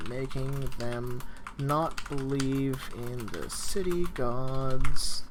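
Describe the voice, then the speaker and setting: A man hosting a casual read-along study group, talking haltingly as he thinks aloud and takes notes. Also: mid-range voice, background noise.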